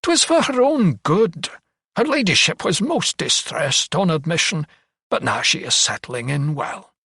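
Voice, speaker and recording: Male narrator as haughty old asylum doctor; raised pitch, bright blustering delivery, storybook period drama. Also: expressive, unhurried, breathy edge, no room sound, studio quality, full character voice. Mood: placating, indignant. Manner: condescending, blustering. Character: elderly Scottish male physician, arrogant, dismissive asylum principal.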